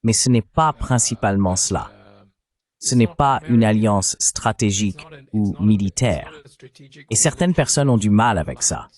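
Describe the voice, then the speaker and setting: A man's voice: on the low side, expressive, measured pace, darkish tone. A man reading a voice-over translation of a political interview. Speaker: French voice-over translating interview host and guest.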